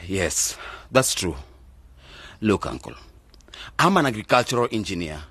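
A man's voice: on the low side, very animated, a little slow, a bit bright, no room sound, heavily acted. An actor playing an earnest, hesitant young man in his twenties, deferential and flustered, in a radio drama. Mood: defensive, earnest. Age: 20s. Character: earnest, flustered young graduate nephew defending his choices.

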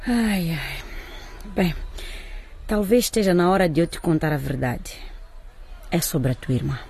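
An actress playing a worried mother in a radio drama who gently confides a painful family secret to her daughter. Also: sits low, a little slow, slightly rough, heavily acted. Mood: confessing.

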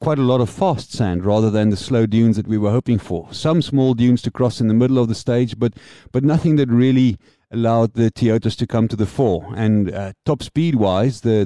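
A man from South Africa with a South African accent, co-hosting a podcast and explaining things in a measured way. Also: fairly low pitch, mellow, no echo, studio quality. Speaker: podcast co-host, motorsport pundit. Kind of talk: casual analytical chat.

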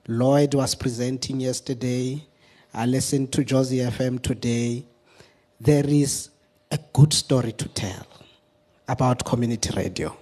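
A man speaking off the cuff on a panel, earnest and deliberate, in stop-start phrases with repeated words. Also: mid-range voice, mellow, slightly rough. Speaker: community radio representative on a panel. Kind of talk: extemporaneous panel discussion remarks.

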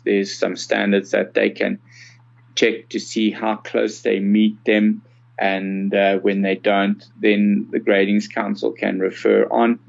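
A South African man in his sixties with a lowish, gravelly voice. He explains things in a measured, conversational way as an interview guest. The recording is slightly dull and muffled. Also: a little mellow. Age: 60s. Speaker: interview guest, accessibility consultant.

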